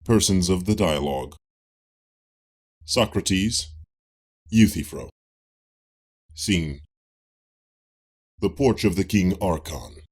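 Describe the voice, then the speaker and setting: Low, husky male audiobook reader. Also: expressive, slow, pauses often, a little mellow. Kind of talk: scripted reading of a classical dialogue.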